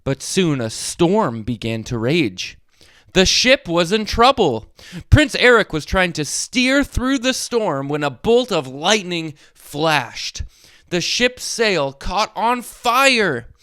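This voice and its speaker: Man, children's storyteller, high smooth voice, unhurried narration, very lively intonation, expressive read-aloud. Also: dry recording, studio quality. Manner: expressive, addresses young listeners directly. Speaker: storyteller, host of a read-along channel. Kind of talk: animated children's read-aloud with short asides.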